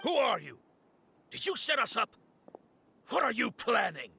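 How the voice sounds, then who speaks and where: A man's voice: extremely high pitch, very animated, measured pace, vocal fry, sharp tone, a little hiss or hum, full character voice. A man voicing an aggressive, alarmed city guard in a game, urgent and indignant as he barks. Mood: indignant, shocked. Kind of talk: dramatic game voice acting.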